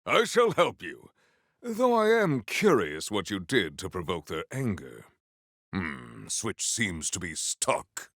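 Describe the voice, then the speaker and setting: A man's voice: low voice, very animated, takes it slowly, a bit bright, no room sound, clean studio sound, heavily acted. A man playing a solemn, proud warrior in a video game, speaking with formal, stately diction and dramatic weight. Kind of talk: scripted game character lines.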